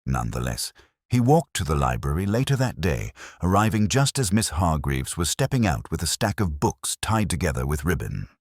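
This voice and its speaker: A man narrates a literary mystery with formal, measured delivery. His voice is extremely deep and husky, moving widely in pitch, in a dry recording. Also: sing-song, no room sound. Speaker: audiobook narrator.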